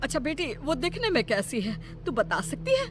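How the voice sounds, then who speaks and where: A woman's voice: sits high, wildly swooping pitch, moderate pace, background noise. A woman voicing a doting, anxious old village grandmother in a cartoon story, fussing affectionately and dramatically. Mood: soothing. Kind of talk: Hindi cartoon horror story acting.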